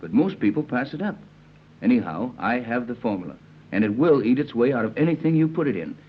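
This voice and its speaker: A man playing a resolute captain and scientist in an old-time radio serial, measured and authoritative. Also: medium pitch, sing-song, slightly rough. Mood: firm, guarded. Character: steady, resolute captain and chemist, grown man. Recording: muffled, noisy vintage radio recording with hum.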